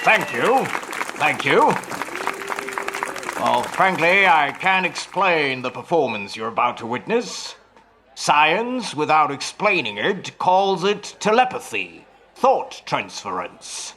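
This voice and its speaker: A man playing a grave, earnest stage telepathist in a radio play, with a deliberate, courteous and dramatic manner. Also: on the high side, sing-song, crowd noise, noisy background, full character voice. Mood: showmanlike, measured.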